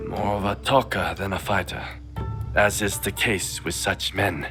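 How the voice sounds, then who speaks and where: A man playing a calm, courteous and wary informant in a samurai video game, speaking in a measured, cautionary way. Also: low-pitched, faint music behind, exaggerated voice. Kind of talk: dramatic video game cutscene acting.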